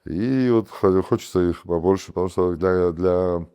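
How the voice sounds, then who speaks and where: Man, Abkhaz accent, very deep voice, casual interview guest, fillers and restarts. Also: moderate pace, clear, resonant tone. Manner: animated, with many fillers and restarts. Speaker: guest, comedy team captain and producer.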